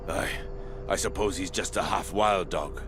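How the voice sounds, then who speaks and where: An actor playing a wary, battle-worn soldier held prisoner in a fantasy video game, speaking in a sober, guarded, plain-spoken way. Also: male voice, sits low, measured pace, over music. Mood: uneasy. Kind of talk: dramatic video game voice acting.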